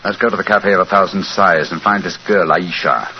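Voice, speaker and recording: An actor in a 1940s radio drama playing a calm, commanding gentleman detective with a British accent and precise, formal speech. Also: low voice, fairly quick. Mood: brisk, grave. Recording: muffled, hissy old radio recording.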